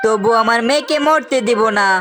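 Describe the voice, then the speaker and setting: Woman voicing a high-voiced, resolute cartoon animal parent. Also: fairly quick, over loud music, natural voice. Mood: resolute, consoling. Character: protective, reassuring animal parent, resolute and self-sacrificing. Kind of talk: Bengali children's cartoon dubbing.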